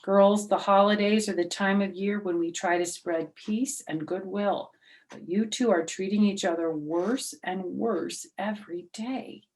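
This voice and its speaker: A woman's voice: mid-range voice, steady tone, a little slow, a little mellow, close to an everyday voice, low-quality recording. A woman voicing a stern male teacher who corrects grammar, in a storybook reading. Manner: correcting and firm.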